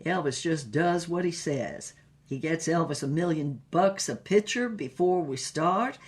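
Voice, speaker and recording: A man's voice: fairly high pitch, animated, a little slow. A young male rock-and-roll movie star from the American South as a storyteller puts him on. He talks in a folksy, swaggering drawl, built for a comic audio story. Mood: breezy, boastful. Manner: folksy, refers to himself in third person.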